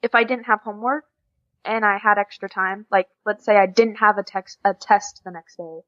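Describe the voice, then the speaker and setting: A woman's voice: mid-range voice, fairly even intonation, normal speed, a bit bright, no echo, highs cut off. A teenage woman from the USA with an American accent, chatting informally and giggly as a podcast guest. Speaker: podcast guest, exchange student. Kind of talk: casual interview chat.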